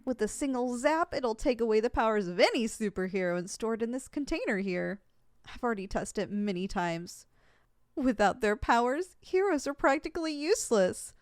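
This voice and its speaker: Girl doing a flustered lovesick supervillain lady, asmr roleplay, dramatic n stammery, super animated, really dry close sound. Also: a little high, no room sound, full character voice. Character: flustered, lovesick young female supervillain, proud but easily embarrassed.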